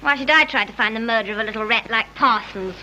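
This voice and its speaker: A woman playing a flippant, chatty, sharp-tongued actress in a 1930s British film, with a breezy, gossipy manner. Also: a little high, quick pace. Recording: noisy, dull 1930s film soundtrack.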